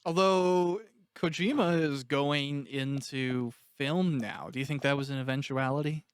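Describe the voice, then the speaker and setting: Guy doing a casual podcast interview, really clear smooth voice, dry sound. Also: fairly high pitch, fairly even intonation, a little slow, no room sound.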